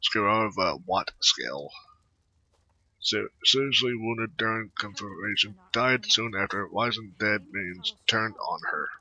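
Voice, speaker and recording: Low-pitched, very bright halting male gamer. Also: dry recording. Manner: halting, stumbles over words. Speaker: gamer narrating a playthrough. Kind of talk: casual game commentary, reading game text aloud. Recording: slightly dull, lo-fi microphone audio.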